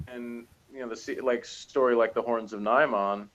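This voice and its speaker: A man chatting casually as a co-reviewer, halting and often trailing off mid-thought. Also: medium pitch, steady tone, fairly bright tone, highs cut off. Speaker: co-reviewer of a TV episode. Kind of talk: casual chat.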